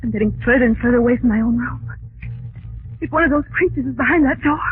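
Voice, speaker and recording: A woman's voice: on the high side, fast, close to an everyday voice. A woman playing an anxious, inquisitive young lady in a 1940s American radio drama, with an American accent and breathless, dramatic delivery. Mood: nervous. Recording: tinny 1940s radio broadcast, music.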